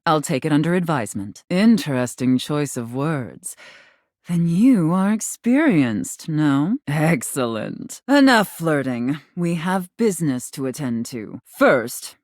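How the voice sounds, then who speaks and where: A woman's voice: sits low, no echo, clean studio sound. A woman voicing a haughty, domineering mistress character in a video game, imperious and scornful, savoring key words. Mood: commanding, seductive. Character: haughty, domineering female safe with a dominatrix persona.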